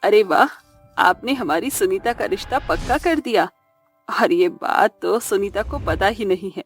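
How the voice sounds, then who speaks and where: A woman plays a caring, practical mother of middle age in a cartoon tale. Her voice is mid-pitched and expressive, with soft music behind it. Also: unhurried, close to an everyday voice. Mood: pleased. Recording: background music under the voice.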